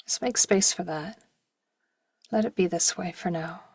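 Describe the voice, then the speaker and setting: Husky, breathy woman guiding meditation. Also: lowish voice, no room sound, clean studio sound. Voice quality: soft, breathy, at times near a whisper. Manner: slow, gentle, with long pauses and short phrases. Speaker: meditation guide. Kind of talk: guided meditation.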